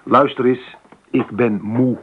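An actor playing a weary, irritable, contrary husband in a radio drama, terse and grumbling. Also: male voice, mid-range voice, steady tone, slow, close to an everyday voice. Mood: tired, irritated. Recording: tinny, slightly noisy old radio recording.

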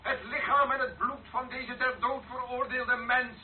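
A man's voice: extremely high pitch, little pitch movement, measured pace, a little hiss or hum. A man in a radio play acting a fervent priest who solemnly declaims to soldiers at an execution. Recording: distant, echoing, tinny old tape.

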